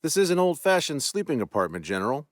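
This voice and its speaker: A man's voice: on the high side, very animated, fast talker, dry recording, clean studio sound, pitched above the performer's own voice. Man doing a genial young lord. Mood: courteous. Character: young courteous nobleman host.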